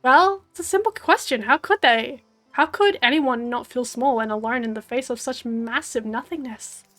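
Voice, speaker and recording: Australian woman reading a vengeful ghost girl; soft, brooding, hoarse, higher-pitched. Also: normal speed, soft background music, lightly acted. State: hoarse, losing her voice.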